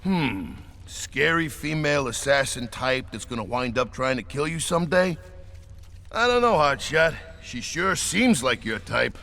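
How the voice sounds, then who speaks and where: A gravelly, teasing ship engineer. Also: male voice, medium pitch, lively intonation, unhurried, bright, forceful tone, a little hiss or hum. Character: gruff male chief engineer, teasing.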